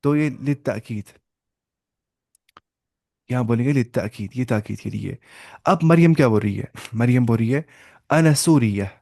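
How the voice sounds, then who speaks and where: A man teaching an online language class over a video call. He explains patiently, repeats points, and slowly sounds out each example phrase. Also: medium pitch, warm tone, dry recording, studio quality. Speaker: Arabic teacher.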